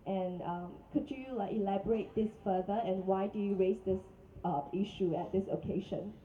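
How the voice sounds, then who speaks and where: A woman's voice: medium pitch, moderate pace, narrow, tinny audio, a little hiss or hum. A woman reporter asking a question at a press conference, polite and hesitant.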